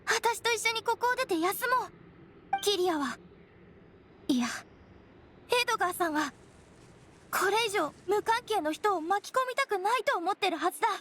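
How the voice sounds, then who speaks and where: An earnest young ranger girl in a video game dub. Her voice is very high, caring and plain-spoken. There is faint ambient noise behind it. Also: animated, normal speed, long pauses, a bit bright. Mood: concerned, firm. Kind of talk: Japanese game dub acting.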